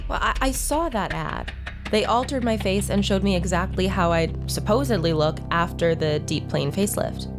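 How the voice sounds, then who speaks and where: A woman's voice: on the low side, normal speed, close to an everyday voice. A woman playing a disgraced young beauty influencer, wry and hurt, being interviewed in a scripted drama. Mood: wounded, disbelieving. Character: wounded, bitter young female beauty influencer, publicly disgraced. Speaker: interview guest. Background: music bed.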